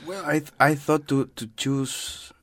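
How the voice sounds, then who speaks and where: Man, thoughtful and unhurried, dry close-miked read. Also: fairly high pitch, a bit bright, dry recording. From Chile. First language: Spanish. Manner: thoughtful, searching for words in a second language. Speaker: guest. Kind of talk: casual conversational interview.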